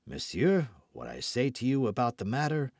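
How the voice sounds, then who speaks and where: A man voicing a haughty young musketeer in an audiobook, measured and coldly polite, with a mild but biting manner. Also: mid-range voice, very animated, a little mellow, dry recording, studio quality, natural voice. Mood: restrained. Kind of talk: audiobook character dialogue.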